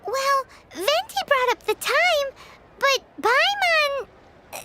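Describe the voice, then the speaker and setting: A woman voicing a tiny, chirpy, childlike fairy companion in a video game, with a squeaky voice. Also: animated, slow pace, sharp tone, slight background noise, full character voice. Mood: chirpy, explanatory. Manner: chirpy, refers to self in third person. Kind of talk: video game character dialogue.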